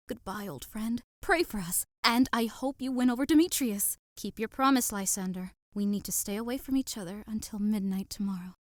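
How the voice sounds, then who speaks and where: A woman playing a devoted young lover in an audio drama, earnest and expressive in a theatrical way. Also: mid-range voice, dry recording, studio quality, close to an everyday voice. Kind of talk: modernized Shakespeare audio drama acting.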